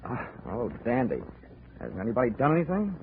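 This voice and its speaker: A man's voice: mid-range voice, wildly swooping pitch, brisk, slight background noise. An actor playing a male insurance investigator, who narrates and delivers dry, wry wisecracks in 1940s radio acting. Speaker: lead and narrator. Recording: tinny old radio broadcast with music.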